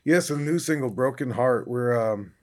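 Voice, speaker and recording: A young man from upstate New York, a singer-songwriter being interviewed, talking casually in a raspy baritone with easygoing fillers. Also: normal speed. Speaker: country singer-songwriter guest.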